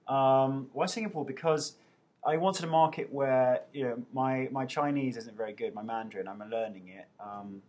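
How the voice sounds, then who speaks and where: Man, 30s, husky, mid-pitched, casual and self-mocking webcam Q&A. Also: normal speed, darkish tone. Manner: playful and self-mocking, reading out questions. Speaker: actor answering viewer questions. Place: indoors at home. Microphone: webcam mic.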